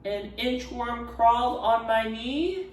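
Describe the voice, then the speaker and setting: Man voicing a shy, halting little schoolboy, high-pitched. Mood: nervous. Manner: halting, stretched-out words. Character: shy, stuck little schoolboy telling his inchworm story. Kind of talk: picture-book character voice. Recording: roomy echo, distant microphone.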